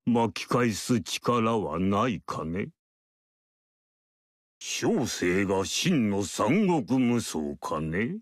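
A man voicing an aloof male sage mystic in a video game, speaking in a measured, lofty, archaic way. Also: lowish voice, sing-song, no room sound, clean studio sound, heavily acted. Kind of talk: game character voice lines.

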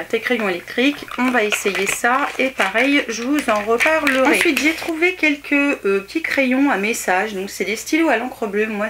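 A woman's voice: medium pitch, background noise. Chatty woman showing off her haul. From France. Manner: chatty, hesitant with many fillers, enthusiastic. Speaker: haul vlogger. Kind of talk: casual show-and-tell haul. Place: small indoor bedroom set.

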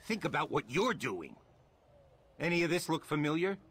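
An actor playing an intense rebel scientist in a video game, a defiant mentor with a gravelly voice. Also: male voice, a little high, sing-song, moderate pace, a bit bright, slight background noise. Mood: accusing. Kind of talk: dramatic video game dialogue.

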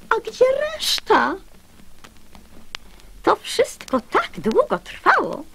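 A woman reciting children's verse as an expressive radio storyteller. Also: high-pitched, a little hiss or hum.

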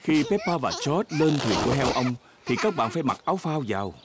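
A woman's voice: very deep voice, big pitch swings, fairly quick, very noisy. A woman giving scripted children's story narration for a dubbed cartoon, over music. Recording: loud music bed behind voice.

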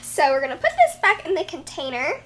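A woman's voice: high-pitched, sing-song, a little fast. A young teenage girl hosting a casual, chatty review to camera in an enthusiastic, gushing way. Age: young teen. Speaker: vlog host reviewing slime. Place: carpeted bedroom, indoors. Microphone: camera a few feet away. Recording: slightly noisy room recording with clicks.

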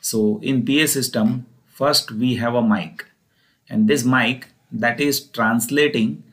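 A man with an Indian accent explaining engineering material step by step as a lecturer, in an explanatory, repetitive way. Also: fairly low pitch. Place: voice-over recorded over slides.

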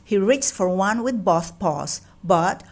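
A woman reads a children's story aloud at a slow, careful pace. Her narration is expressive and deliberate, with dramatic weight on key words. She speaks in a mid-range register. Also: medium pitch, very noisy.

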